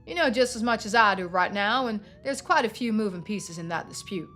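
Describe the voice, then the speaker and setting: A man's voice: high-pitched, expressive, a little fast, sharp tone, faint music behind. A woman voicing a stern, sardonic male police deputy. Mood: stern, exasperated.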